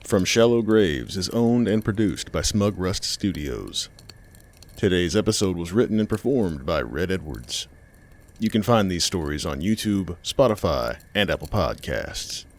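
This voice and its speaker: A man telling a scripted horror tale as a folksy fireside storyteller and host, with dramatic pauses. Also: low-pitched, very animated.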